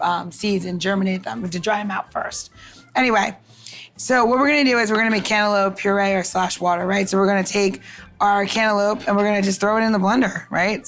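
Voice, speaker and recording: A woman co-hosting a podcast, giving kitchen tips in a chatty, informal way with casual banter. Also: mid-range voice.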